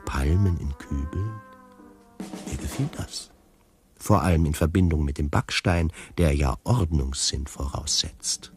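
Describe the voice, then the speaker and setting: An actor narrating a radio play in the first person as a wry, fastidious tiger, in a deliberate literary manner. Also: male voice, sits low, full character voice. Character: wry, fastidious tiger telling his own story.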